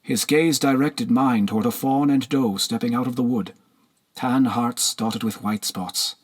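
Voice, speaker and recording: A man narrating a historical novel as an audiobook in a measured, solemn storytelling manner. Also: medium pitch, husky.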